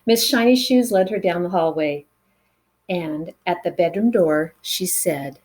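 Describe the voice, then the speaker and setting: A woman reading a picture book aloud to children in an expressive way, with dramatic pauses and chatty asides to the listeners. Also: lowish voice. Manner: animated, dramatic pauses, engages young listeners with questions.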